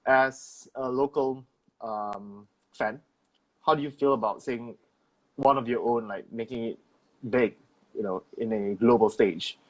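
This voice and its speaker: Guy hosting a chill chat, says like a lot, rambly questions, normal pitch. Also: unhurried, pauses often, low-quality recording. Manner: rambling, many "like" fillers. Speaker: host. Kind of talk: casual interview.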